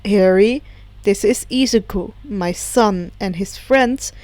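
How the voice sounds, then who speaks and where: A woman voicing a calm, formal middle-sized role of a retired supervillain father in a story reading. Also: medium pitch, slow pace, dry recording, pitched below the performer's own voice, lightly acted. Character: retired supervillain father, adult man, calm and matter-of-fact.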